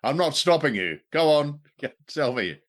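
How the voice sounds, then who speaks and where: A man's voice: a little high, sing-song, a little slow. A man co-hosting a radio show in casual chat, jokey and wry, with a rambling, hesitant delivery. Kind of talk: casual chat about old radio drama.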